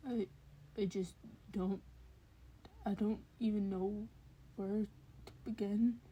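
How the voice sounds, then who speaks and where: Woman as a very soft, hesitant teenage boy student. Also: mid-range voice, fairly even intonation, husky, dull audio. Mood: nervous, reluctant. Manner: hesitant, halting. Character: uneasy, guarded young male student, defensive, earnest.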